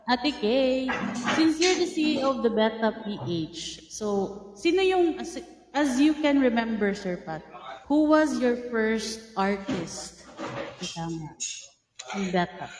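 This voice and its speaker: A woman with a Filipino accent, hosting an interview and asking slow, hesitant questions, humming along in agreement. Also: on the high side, animated. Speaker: interview host. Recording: roomy echo with noticeable background noise.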